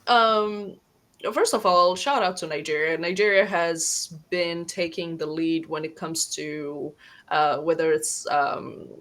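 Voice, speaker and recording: A woman's voice: lowish voice, fairly even intonation, slow pace, fairly bright tone. A woman hosting an interview podcast, asking questions in a friendly, chatty way. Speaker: podcast host.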